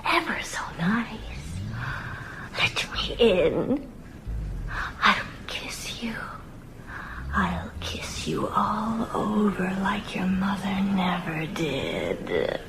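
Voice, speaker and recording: A seductive, hungry vampire woman in a radio drama. She draws her words out very slowly in breathy whispers, with a pitch that swings widely. There is clear noise behind her. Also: very animated, heavily acted. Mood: seductive coaxing. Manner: breathy whispered coaxing, slow and drawn out.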